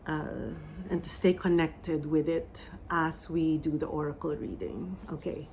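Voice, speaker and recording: Slow, soft-spoken Filipino woman. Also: low voice, fairly even intonation, a little hiss or hum, poor audio. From Philippines. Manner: slow, soft, meditative delivery with pauses. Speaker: psychic medium. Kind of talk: oracle reading and channeled message.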